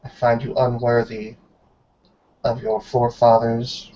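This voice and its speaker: A stern werewolf elder acted by a man in a tabletop roleplay game. The words come slowly and solemnly, in an even, formal, judging manner. The sound is dull, as over an online call. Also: mid-range voice, natural voice. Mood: solemn, condemning. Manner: grave, deliberate, formal pronouncements.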